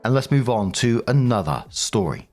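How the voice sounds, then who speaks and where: A man's voice: medium pitch, big pitch swings, moderate pace, a little mellow, faint music behind. A man reading online posts aloud as the channel's narrator, then giving chatty commentary with fillers like "I mean" and "like".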